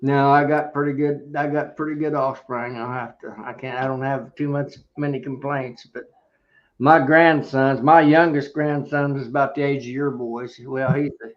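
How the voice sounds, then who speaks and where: A man's voice: mid-range voice, steady tone, moderate pace, muffled recording. An older American man, around his seventies, chatting casually on a group live chat. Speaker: panelist on a beekeeping live chat.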